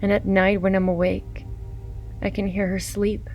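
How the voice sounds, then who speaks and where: A woman's voice: medium pitch, little pitch movement, normal speed, lo-fi sound, faint music behind, close to an everyday voice. An actress playing a frail, sick young mother in a radio drama, tender and trusting as she longs for her child. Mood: wistful, hopeful.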